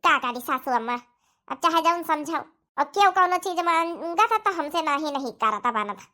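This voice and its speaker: A chatty, obliging cartoon daughter-in-law from a village household, voiced by a woman. Her voice is extremely high and sharply bright. She talks in a homely, drawn-out Awadhi accent. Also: lively intonation. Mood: resigned. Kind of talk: cartoon comedy dialogue in rural dialect.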